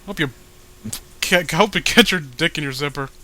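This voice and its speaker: High-voiced man, bright and foul-mouthed. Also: normal speed. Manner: profane, sarcastic ranting. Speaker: host. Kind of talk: casual mocking read-along commentary.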